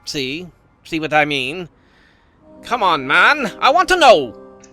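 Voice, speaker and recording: A man voicing a cocky, flippant dragon assassin and family dad in an animated fantasy series, with sarcastic, stammering banter. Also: high-pitched, slow, faint music behind, exaggerated voice. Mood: teasing, incredulous.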